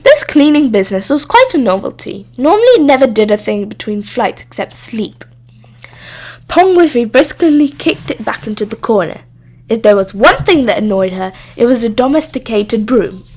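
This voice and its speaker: A woman reading a children's story aloud as expressive scripted narration. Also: a little high, moderate pace. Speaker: storybook reader.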